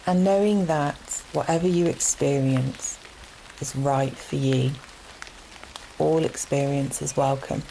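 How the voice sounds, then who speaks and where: A woman leading a guided relaxation meditation in a gentle, soothing manner, leaving long unhurried pauses between phrases. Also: very deep voice, vocal fry, a little hiss or hum, low-quality recording. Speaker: yoga nidra meditation guide. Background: steady rain sounds.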